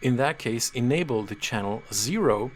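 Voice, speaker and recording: Patient man explaining software. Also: mid-range voice, lively intonation, normal speed, a little hiss or hum. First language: Czech. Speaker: software tutorial presenter. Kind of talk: screen-reader software walkthrough.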